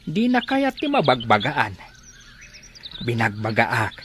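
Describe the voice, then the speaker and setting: A man's voice: mid-range voice, wildly swooping pitch, slow, a bit bright, a little hiss or hum, heavily acted. A man acting an easygoing, respectful young countryman in a radio-style drama, with an Ilocano accent. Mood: respectful, explaining.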